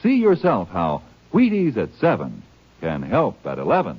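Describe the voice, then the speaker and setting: A man's voice: lowish voice, wildly swooping pitch, normal speed, loud music behind the voice. A man with an American accent reading a 1950s radio advertisement, emphatic and persuasive. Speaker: commercial announcer. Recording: muffled vintage radio recording.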